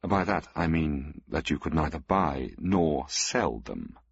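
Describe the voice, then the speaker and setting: A man plays a self-assured master thief in a radio story, dry and mildly ironic. The voice is very deep and husky, on a muffled, dry recording. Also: lively intonation, slow pace, dry recording, full character voice. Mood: wry, guarded.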